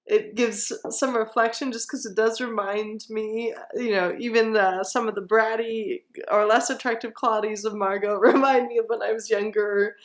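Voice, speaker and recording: A woman's voice: fairly high pitch, fairly even intonation, normal speed, few pauses. A woman, a voice actor being interviewed, chatting casually. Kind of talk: casual chat.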